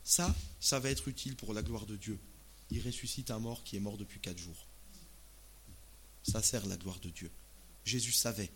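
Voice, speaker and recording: A man from Alsace preaching a sermon in casual, expressive, conversational language, often asking rhetorical questions. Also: on the low side, moderate pace, pauses often, slight background noise. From Alsace, France. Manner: expressive, uses rhetorical questions and acted-out asides.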